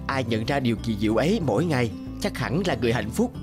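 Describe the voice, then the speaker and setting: Young male scholar, acted by a man, cartoon fairy tale, mid pitch, earnest, polite and admiring. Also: big pitch swings, fast, over music, lightly acted. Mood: thoughtful. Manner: earnest, respectful.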